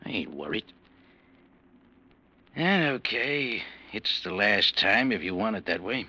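A man's voice: lowish voice, very animated, measured pace, pushed and bright, exaggerated voice. An actor playing a smug, menacing gangster in an old radio crime drama, with slangy tough-guy speech. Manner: slangy tough-guy drawl, veiled threats. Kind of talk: 1940s-50s radio crime drama acting.

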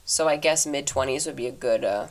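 Deep-voiced young woman, earnest podcast talk. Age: 20s. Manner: earnest, reflective, talks fast. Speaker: podcast host. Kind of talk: casual solo advice talk. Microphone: podcast microphone.

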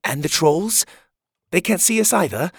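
A man's voice: fairly high pitch, expressive, normal speed, breathy edge, dry recording, clean studio sound, voice pushed up. A man voicing a curious, eager young male adventurer in a storybook, asking wide-eyed, astonished questions. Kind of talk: storybook character voice.

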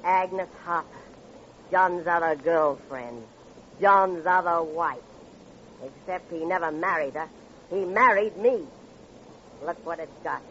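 Bitter, flirtatious American divorcee, muffled. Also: female voice, fairly low pitch, takes it slowly, pauses often, fairly bright tone. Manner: theatrical, taunting, abrupt swings in delivery. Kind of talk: old-time radio crime drama acting. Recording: muffled, noisy vintage radio recording.

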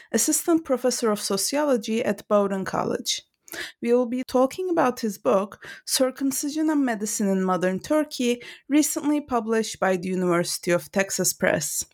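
A woman hosting a book interview podcast, introducing the guest and asking questions in a measured, orderly way. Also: mid-range voice, a little mellow. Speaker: podcast host.

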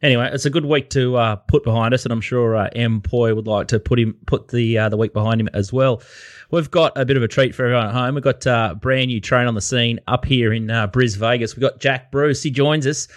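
A man's voice: medium pitch, steady tone, brisk, no room sound, clean studio sound. Casual man hosting a podcast. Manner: casual, filler-heavy. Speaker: podcast host. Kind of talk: podcast chat.

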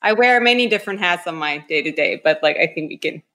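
A woman's voice: lowish voice, expressive, fast, a bit bright. A woman who grew up in Turkey and the United States, speaking as an architect guest on a podcast in a casual way, often saying "like" and "you know". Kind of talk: podcast interview.

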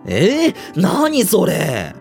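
Reserved high school boy played by a man, radio drama lead and narrator, understated but with lively pitch movement, over music. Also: extremely slow, gravelly, full character voice. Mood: lighter, curious. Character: reserved teenage high school boy, former ballet dancer.

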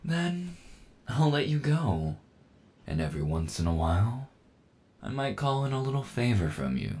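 A man playing a smug, dominant cyborg villain in an ASMR audio roleplay, taunting and condescending with slow menace. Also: sing-song, a little mellow. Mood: calculating. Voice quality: low, gravelly.

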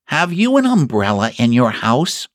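A man's voice: mid-range voice, huge pitch swings, unhurried, no room sound, studio quality, voice pushed up. A man voicing a small, earnest toy bear from a children's storybook, ponderous and solemn as he schemes. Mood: earnest, plotting.

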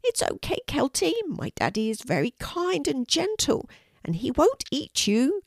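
A woman's voice: high voice, big pitch swings, unhurried, no room sound, studio quality, voice pushed up, exaggerated voice. A woman voicing a tiny, timid five-year-old boy dragon in a children's storybook, childlike, earnest and friendly. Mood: reassuring.

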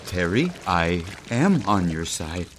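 A man playing a nervous radio co-host who tries to smooth things over in an audio drama. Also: low-pitched, sing-song, takes it slowly, heavy background noise, heavily acted. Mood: placating. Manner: nervous, placating. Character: nervous male radio co-host, pushy prankster.

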